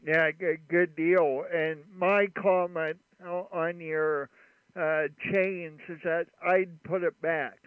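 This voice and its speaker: A man running a ham radio chat and livestream, talking in a relaxed, rambling way with many fillers. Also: a little high, takes it slowly, clean tone, no room sound. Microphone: studio mic at his station. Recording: radio audio, narrow band.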